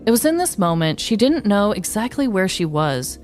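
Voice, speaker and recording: A woman's voice: mid-range voice, very animated, normal speed, darkish tone, faint music behind. A woman podcast host narrating a scripted comedic story.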